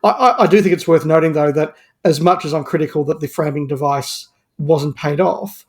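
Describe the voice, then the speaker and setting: Male podcast guest, slightly high voice, informal reviewer. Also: a little fast. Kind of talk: casual review chat.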